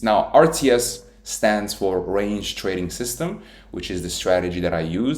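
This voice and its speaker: Earnest young man, low voice, echoey room. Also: a little mellow. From Italy. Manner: earnest, repetitive, self-taught English with small slips. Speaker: trading educator. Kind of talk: casual talking-head lecture.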